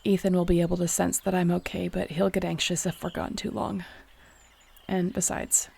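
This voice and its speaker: Breathy, low-voiced young shapeshifter played by an actress. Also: female voice, level tone, moderate pace, darkish tone, exaggerated voice. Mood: relaxed, fond. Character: young shapeshifter woman, new clan Alpha, earnest, vulnerable, tender. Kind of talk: intimate audio roleplay acting addressed to the listener.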